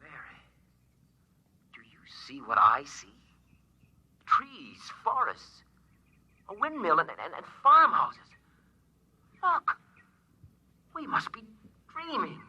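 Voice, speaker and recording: A man's voice: high voice, huge pitch swings, slow pace, long pauses, sharp tone, husky, exaggerated voice. A man acting in an old-time radio drama as an authoritative, protective professor and father, commanding and dramatic. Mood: awed. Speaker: radio actor. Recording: dull lo-fi vintage radio recording.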